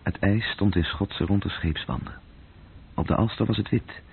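Deep, gravelly, breathy male narrator. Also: normal speed. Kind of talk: scripted literary radio drama narration. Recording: muffled, tinny old radio-play recording.

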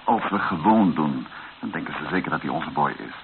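An actor playing an earnest, resourceful hero in a war radio drama, speaking deliberately and persuasively. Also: male voice, very deep voice, animated, phone-quality sound. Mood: earnest, planning. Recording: band-limited old radio recording, faint noise.

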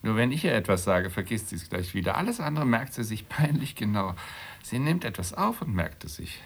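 An actor playing a blunt, nagging middle-class husband in a radio drama, with a gravelly, husky voice and a needling manner. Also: male voice, on the low side, sing-song, a little fast, full character voice.

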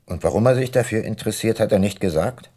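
Man playing an older, low-voiced, sober detective. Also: fast, dark tone, no room sound, clean studio sound, natural voice. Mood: probing. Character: ageing male private detective, ex-prosecutor, sober and remorseful. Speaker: narrator and lead character. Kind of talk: radio drama narration and dialogue.

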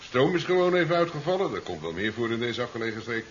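An actor playing a genial, dismissive scientist in a 1970s radio drama, muttering hesitantly and fussily. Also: male voice, mid-range voice, big pitch swings, fast, close to an everyday voice. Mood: genial, reassuring. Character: dithering, genial scientist host, dismissive of danger. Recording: dull, hissy 1970s radio recording.

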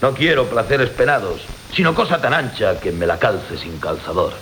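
An actor playing a wary, blunt captain with an eye for women in a classical radio comedy, theatrical and given to mimicry. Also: male voice, fairly low pitch, normal speed. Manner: theatrical, animated, mimics others. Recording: hissy old radio broadcast.

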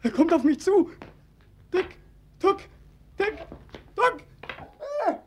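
An actor playing a tormented, despairing young man back from war in a 1940s radio play, with anguished, rhetorical delivery and a husky voice. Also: extremely high pitch, animated, slow, exaggerated voice. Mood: terrified. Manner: anguished, rhetorical, swinging from murmur to cries. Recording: muffled vintage 1940s radio recording.

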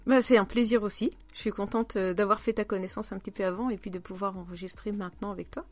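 A woman's voice: mid-range voice, fairly even intonation, fast, darkish tone. A woman hosting a podcast, chatting informally as she interviews her guest. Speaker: podcast host. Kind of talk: casual conversational interview.

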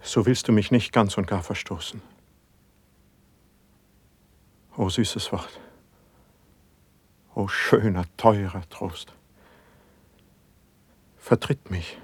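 Anguished male poet, low husky voice, declamatory verse, radio drama, very dry close sound. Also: no echo, heavily acted. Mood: fervent pleading. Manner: declamatory, measured stage diction. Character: brooding, suspicious, passionate poet in anguish. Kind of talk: classical German verse drama, radio play.

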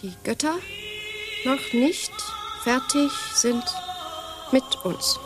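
A woman's voice: high-pitched, slow, over music. An actress playing a curious woman in a radio play who keeps echoing and probing what she hears. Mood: inquisitive. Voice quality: echoing, inquisitive.

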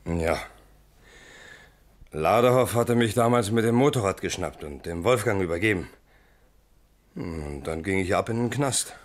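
A sheepish but blunt former convict. The voice sits fairly low and moves expressively, with colloquial phrasing in the style of a radio crime play. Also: male voice, lively intonation, measured pace, long pauses. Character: blunt, sheepish male ex-convict amateur sleuth. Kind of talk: German radio crime drama acting.

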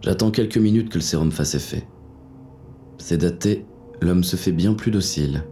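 An actor playing a menacing, weary police inspector who narrates a noir audio drama in a gritty, terse, deliberate way. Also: male voice, low-pitched, dark tone, slight background noise, lightly acted. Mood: controlled, menacing. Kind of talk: scripted first-person noir audio fiction narration.

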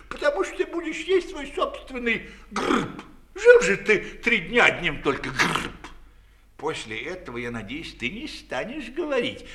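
A man's voice: very high-pitched, bright, forceful tone, voice pushed up, exaggerated voice. A man voicing a lordly djinn of the desert in a fairy tale, sly, mock-polite and scolding.

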